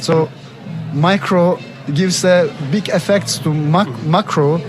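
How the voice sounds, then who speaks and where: A man's voice: a little high, takes it slowly, music in the background, poor audio. A man in his thirties from Turkey with a Turkish accent, giving an earnest interview in non-native English. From Istanbul, Turkey. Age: 30s. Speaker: interview guest, artist and zine publisher. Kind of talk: conversational interview. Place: busy art book fair. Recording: busy fair chatter and street noise.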